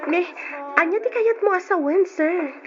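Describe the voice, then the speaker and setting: An actress playing a young, playful bar waitress turned devoted wife in an old radio drama. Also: female voice, extremely high pitch, moderate pace, faint music behind. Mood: surprised. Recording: noisy, dull old radio drama recording.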